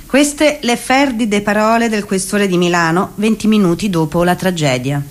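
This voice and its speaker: A woman's voice: medium pitch, normal speed. Female stage narrator, middle register, reads testimony and narration for radio theatre. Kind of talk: scripted theatre narration and reading.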